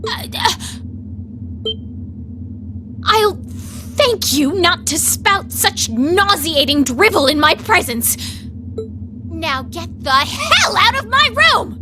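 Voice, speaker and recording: Haughty, bright-voiced young lady knight. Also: very high-pitched, very animated, slow, slight background noise, heavily acted. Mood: flustered, indignant. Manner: haughty, emphatic, formal diction. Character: proud, prickly young female knight, fervently devoted to her lord. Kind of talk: dramatic video game voice acting.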